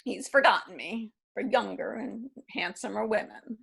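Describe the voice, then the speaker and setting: A woman reading the part of a heartbroken aging woman still mourning a lost love, in a halting, wistful way, for a readers theatre play. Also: medium pitch, creaky, fairly bright tone, slightly rough, full character voice. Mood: grieving.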